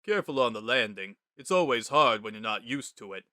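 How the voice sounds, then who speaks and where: A man's voice: medium pitch, expressive, a little fast, bright, forceful tone, dry recording, clean studio sound. A man voicing an easygoing skeleton who talks in a casual, laid-back way. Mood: reassuring. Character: easygoing short skeleton, relaxed and wry.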